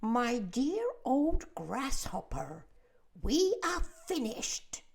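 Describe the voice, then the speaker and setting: A woman voicing a gloomy, complaining blind male earthworm who draws out his words slowly and sounds doomed. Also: fairly high pitch, sing-song, gravelly, pitched above the performer's own voice, heavily acted. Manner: slow, drawn-out and doom-laden.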